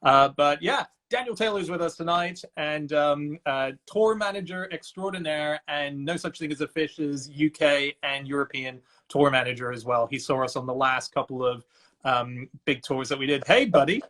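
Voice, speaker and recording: A man with a fairly high voice hosts a casual chat show from home. He talks in a loose, rambling way, filling his pauses with ums and uhs as he introduces his guest over a video call. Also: fairly bright tone. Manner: chatty, hesitant with many fillers. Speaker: host.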